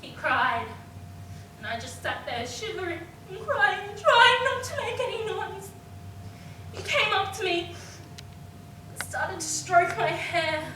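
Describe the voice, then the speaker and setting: A woman playing an anguished young woman in a theatrical monologue about abuse, with halting delivery and dramatic pauses. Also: animated, recorded from a distance, full character voice. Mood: hushed sorrow. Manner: halting, dramatic pauses, swinging from hushed to screamed. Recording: echoey room from afar, noisy with rumble.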